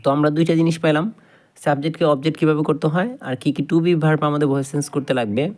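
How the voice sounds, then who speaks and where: A man's voice: on the high side, fairly even intonation, racing, darkish tone. A man with a Bangladeshi accent giving an informal grammar lesson, explaining step by step and often repeating and rephrasing his points. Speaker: English grammar teacher.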